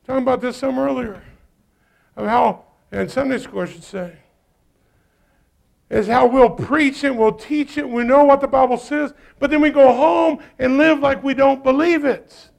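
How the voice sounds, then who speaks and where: A pastor preaching a sermon, swinging between loud urgent peaks and low quiet asides. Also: male voice, steady tone, normal speed, gravelly.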